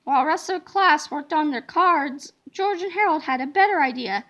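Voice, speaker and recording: A woman reading a children's book aloud in an expressive, dramatic storytelling manner. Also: high-pitched, a little fast, dull audio. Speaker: storybook reader.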